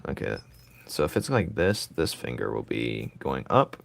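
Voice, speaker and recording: A man with a raspy voice streaming his drawing, thinking aloud in casual chat and joking with viewers. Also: rumbling low, very animated, a little slow, dry recording.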